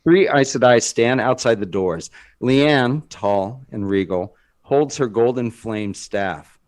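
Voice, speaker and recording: A man's voice: medium pitch, slow, darkish tone. A man reading scripted dramatic narration as the narrator of a screenplay.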